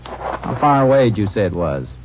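An actor playing a firm, shrewd American ranger in a 1950s radio detective drama. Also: male voice, lowish voice, big pitch swings, moderate pace. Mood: probing, firm. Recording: muffled, very noisy old radio recording.

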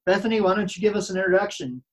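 A man hosting a podcast interview, friendly and quick to laugh along. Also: high-pitched. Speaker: podcast host. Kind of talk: conversational interview.

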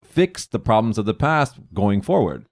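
A man's voice: fairly low pitch, very animated, normal speed, darkish tone, no room sound. A man hosting a podcast, talking thoughtfully in casual conversation with frequent restarts and fillers. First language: English. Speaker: podcast host.

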